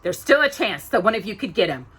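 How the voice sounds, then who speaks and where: A woman voicing a stern, scheming, commanding older stepmother in a storybook, with deliberate, imperious delivery. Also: medium pitch, big pitch swings, fairly bright tone, breathy edge, exaggerated voice. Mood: sharp, urgent.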